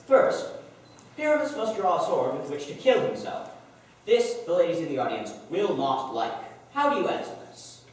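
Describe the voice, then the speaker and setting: A man's voice: fairly high pitch, very animated, normal speed. A man playing a fussy, perfectionist amateur actor in a stage play, complaining in a self-important way, recorded from far off in an echoey hall.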